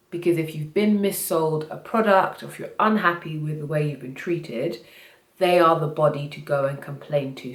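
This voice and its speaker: Low-voiced woman with a cold. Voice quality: voice slightly off from a cold. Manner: earnest, self-correcting explainer. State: has a cold. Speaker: finance vlogger. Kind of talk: casual talk to camera. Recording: echoey room.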